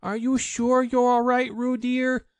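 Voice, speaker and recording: A man acts out an anxious mother kangaroo from a children's storybook. The voice is lifted high and recorded dry. She frets and fusses with doting concern. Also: little pitch movement, measured pace, clear, resonant tone, no room sound. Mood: anxious, calling. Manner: fretful, fussing.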